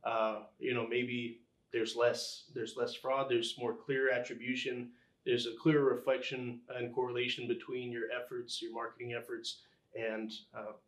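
A man answering interview questions as a podcast guest, explaining things conversationally and haltingly as he pieces thoughts together. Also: medium pitch, flat delivery. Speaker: podcast guest, engineering executive. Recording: roomy, echoing sound.

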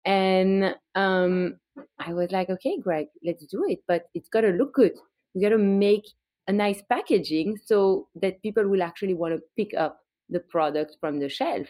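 A woman's voice: mid-range voice, normal speed, dark tone, dry recording. A woman from Belgium with a Belgian accent, a brand founder telling her story as a guest in a podcast interview, chatty with small restarts.

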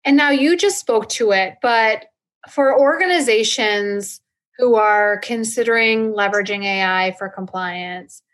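A woman's voice: medium pitch, a little slow, fairly bright tone. A woman interviewing in a conversational style, affirming and restating points as she leads into questions. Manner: affirming, restates the guest's points before asking.

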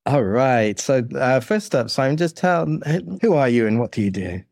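A man's voice: mid-range voice, expressive, moderate pace, a little mellow, no echo. Man hosting a podcast, very dry sound. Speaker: podcast host. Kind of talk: podcast introduction and interviewing.

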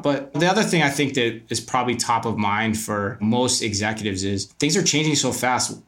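A man's voice: medium pitch, fairly bright tone. Opinionated man giving interview answers. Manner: opinionated, emphatic, rhetorical questions. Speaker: podcast guest, retail commentator.

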